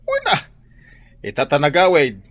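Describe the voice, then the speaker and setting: A man's voice: on the high side, wildly swooping pitch, normal speed, fairly bright tone, dry recording. A man with a Filipino accent playing a chatty gossip in a radio drama. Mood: gossipy.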